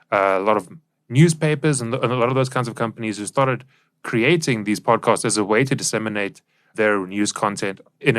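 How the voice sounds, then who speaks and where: A man co-hosts a podcast with a low voice and a very dry, close sound. He explains in a plain-spoken, emphatic, conversational way. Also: no echo. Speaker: podcast co-host and producer.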